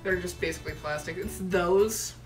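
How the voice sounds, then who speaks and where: A woman's voice: on the low side, moderate pace. A young adult woman casually improvising narration as the game master of a tabletop game. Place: living room around a table. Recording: roomy, far mic, music behind.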